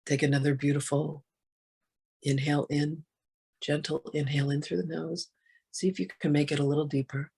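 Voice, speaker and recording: Soft, breathy voice guiding a meditation. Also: mid-range voice, level tone. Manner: gentle, soothing, unhurried, with long pauses. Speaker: meditation guide. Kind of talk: guided meditation.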